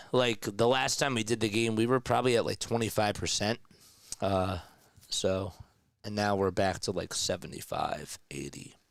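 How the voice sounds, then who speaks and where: Man, casual live basketball play-by-play, slightly raspy and nasal from a sore throat, smooth resonant tone, dry close mic. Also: lowish voice, a bit bright, no room sound. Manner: conversational, reads and answers chat comments. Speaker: play-by-play commentator.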